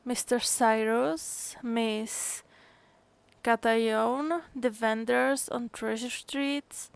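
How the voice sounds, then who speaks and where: A woman's voice: fairly high pitch, vocal fry, clear, resonant tone, no room sound. A woman game streamer chatting casually and reading game dialogue aloud in a musing, hesitant way.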